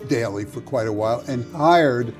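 A man in his sixties giving deliberate, matter-of-fact political commentary in a chat. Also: mid-range voice, music in the background. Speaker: interview guest. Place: study with bookshelves.

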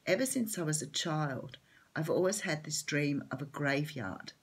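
A middle-aged Australian woman with a hoarse, croaky voice, chatting casually as she reads tarot cards at home. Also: sits low, lively intonation, normal speed. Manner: chatty, open, laughs lightly. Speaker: tarot reader. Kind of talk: casual tarot reading to camera. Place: home room at a table.

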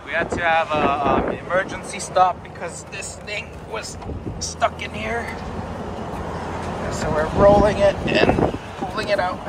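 A man's voice: high-pitched, unhurried. A man casually explaining something on camera, recorded on location. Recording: very noisy camera mic.

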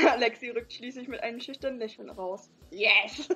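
A woman's voice: fairly high pitch, moderate pace, pushed and bright. A young woman hosting a let's play, reading visual novel dialogue aloud with playful, giggly asides. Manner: giggly, reads lines playfully.

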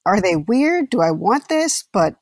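A woman's voice: medium pitch, very animated, unhurried, no room sound, studio quality. A woman speaking as an actor guest in reflective, casual conversation.

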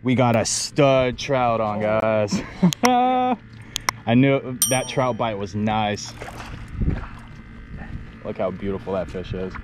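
A man guiding a fishing trip, excitedly narrating catches and laughing often, recorded on an action camera out on a boat. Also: on the low side, takes it slowly, pauses often. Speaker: fishing guide filming his charter. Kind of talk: casual on-camera fishing commentary. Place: small boat on open shallow flats, outdoors. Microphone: head-mounted action camera. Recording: wind and water noise outdoors.

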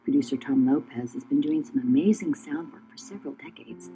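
A woman presenter reads scripted narration in a lowish register. Her tone is very dark and soft-edged, with ambient noise behind her. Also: fairly quick, rough recording.

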